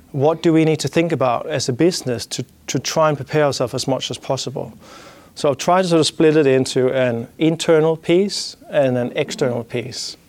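A man's voice: medium pitch, a little mellow. A man with a Danish accent giving a business talk, thinking aloud with many restarts and "you know" fillers.

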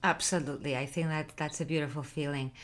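A woman's voice: deep voice, steady tone, fast. A woman hosting her own interview show, asking questions in an encouraging way. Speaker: talk show host.

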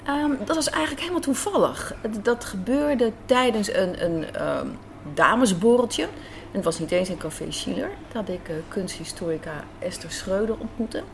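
A woman's voice: mid-range voice, animated, normal speed. Dutch woman chatting in a noisy café. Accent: Dutch, from the Netherlands. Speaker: radio drama writer and director, interview guest. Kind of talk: conversational interview, storytelling. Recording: clear café background noise.

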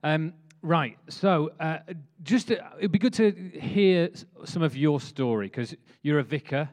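A man's voice: on the high side, measured pace, a little mellow. Hesitant male church host. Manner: hesitant, friendly, lots of fillers and laughs. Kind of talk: hosting a church interview.

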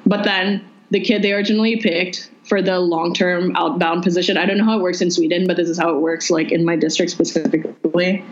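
A young woman from the Philippines, telling her own story in a relaxed, chatty way with many "like"s. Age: young adult. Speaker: interviewee. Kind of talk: casual chat. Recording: muffled low-fidelity audio.

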